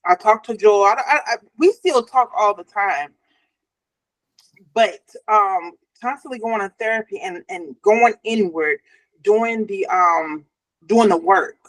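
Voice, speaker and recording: Woman, medium pitch, dry recording, reflective, casual guest talk. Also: a little slow, dry recording, rough recording. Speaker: podcast guest. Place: video call from a phone.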